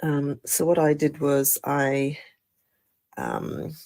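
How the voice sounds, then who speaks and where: Croaky woman, slow and mellow. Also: deep voice, fairly even intonation. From Australia. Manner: chatty, hesitant, many fillers and apologies. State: croaky from the flu. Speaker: craft demonstrator. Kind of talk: live card-making tutorial.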